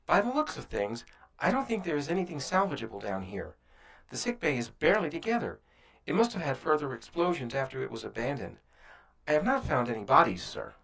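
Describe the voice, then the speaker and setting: A man's voice: fairly high pitch, a bit bright, rough recording. A man playing a wry, kindly rabbit doctor aboard a starship in a sci-fi audio drama, muttering expressively. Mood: reporting.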